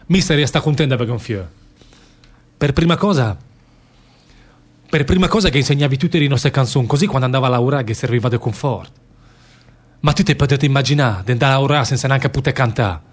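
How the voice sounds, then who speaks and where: A man's voice: mid-range voice, brisk, long pauses, natural voice. Radio drama actor as simple, confessional Lombard workman: mid-range male voice, stubborn, plaintive, repetitive dialect delivery. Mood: wistful, animated. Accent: northern Italian, Lombard. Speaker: stage actor in a radio theatre play. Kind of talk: dialect theatre acting.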